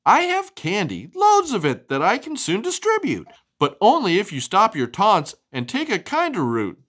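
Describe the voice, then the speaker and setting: A woman's voice: medium pitch, huge pitch swings, moderate pace, a bit bright, husky, voice pushed up, full character voice. A woman voicing a brave, kind young witch from a children's storybook. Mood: bright, firm.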